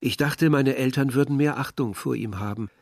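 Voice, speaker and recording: An actor playing a reflective village man, narrating a literary radio play in a measured, bitter tone. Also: medium pitch, gravelly, a little mellow, a little hiss or hum, natural voice. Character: reflective Algerian village man recalling war and dead brother. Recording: dry studio, faint music behind.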